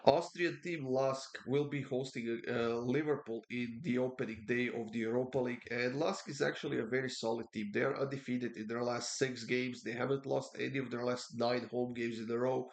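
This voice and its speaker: Man, congested, stuffy cold voice, halting with fillers, average pitch, betting picks. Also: steady tone, gravelly. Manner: halting, many uh fillers and repeats. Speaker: sports betting tipster. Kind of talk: casual betting preview talk.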